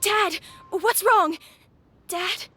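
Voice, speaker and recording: A woman's voice: extremely high pitch, extremely slow, pushed and bright, soft background music, exaggerated voice. A woman voicing a devoted young daughter in a video game, earnest and anxious in her delivery. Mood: frightened.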